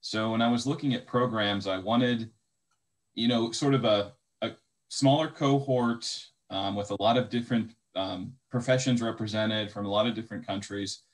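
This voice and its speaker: A man's voice: lowish voice, steady tone, normal speed. A young man, a medical graduate, speaking casually on a video call with many fillers. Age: young adult. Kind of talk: online info session talk.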